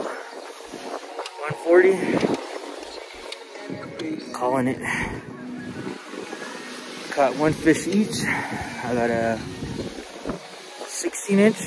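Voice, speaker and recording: A man's voice: fairly high pitch, slow, pauses often. A man casually narrating an ice fishing vlog outdoors, filmed on a phone held at arm's length. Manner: laid-back, chatty, excited when fish bite. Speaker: outdoor vlogger. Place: outdoors on a frozen lake, windy. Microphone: handheld phone camera at arm's length. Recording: loud wind and outdoor noise.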